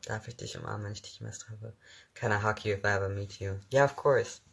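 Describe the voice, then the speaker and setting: A young man from Germany, a native German speaker with a mixed English accent, chatting casually on a phone live stream as he answers viewer comments with lots of soft giggles and hesitant fillers. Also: lowish voice, fairly even intonation, fast, vocal fry, husky. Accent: mixed English, not German-sounding.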